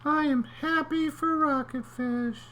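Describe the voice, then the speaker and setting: A man voicing a gentle, sad young clam in a storybook reading, speaking slowly in a high, plaintive voice. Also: fairly even intonation, a little hiss or hum, exaggerated voice, poor audio.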